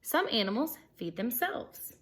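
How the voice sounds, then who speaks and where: Expressive woman teacher reading aloud to children. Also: fairly high pitch, measured pace. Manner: expressive, simple explanations addressed to young pupils. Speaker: elementary school teacher reading a picture book aloud. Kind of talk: children's read-aloud with questions to listeners.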